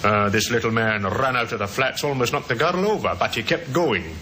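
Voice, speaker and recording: A courteous, diligent detective sergeant in a radio play, speaks deferentially in a bright, keen voice. His intonation is lively, and the old recording has slight noise. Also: mid-range voice, a little fast. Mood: earnest, reporting. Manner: deferential, hesitant politeness. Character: polite, diligent male police detective sergeant. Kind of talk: old-time radio drama acting. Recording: noisy vintage radio drama recording.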